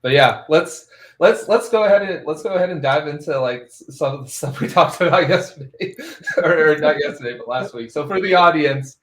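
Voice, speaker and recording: A man's voice: fairly high pitch, animated, a little fast, a bit bright. A man hosting a casual tech podcast, rambling and laughing often between phrases. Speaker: podcast host. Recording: roomy echo.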